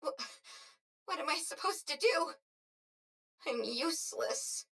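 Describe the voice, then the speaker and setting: A woman's voice: high-pitched, expressive, takes it slowly, a bit bright, full character voice. A woman playing an anxious young girl who feels useless, faltering and emotional, in a video game. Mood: distressed, helpless.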